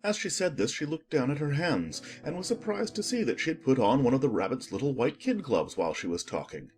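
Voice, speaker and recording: Animated storybook narrator, lively swooping pitch. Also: brisk, faint music behind.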